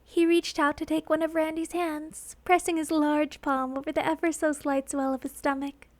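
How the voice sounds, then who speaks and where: Woman narrator, high voice, dry close sound, expressive theatrical storytelling, scripted fiction reading. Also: moderate pace, dry recording.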